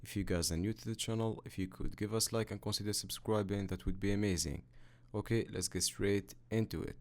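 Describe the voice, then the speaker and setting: A man with a fairly low voice narrates a step-by-step computer tutorial. He speaks as the host, giving instructions in a matter-of-fact manner. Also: steady tone, fairly quick, a little mellow.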